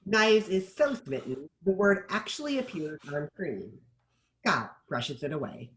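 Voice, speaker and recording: Male narrator, scripted stage directions, lively varied pitch, table read. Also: a little slow.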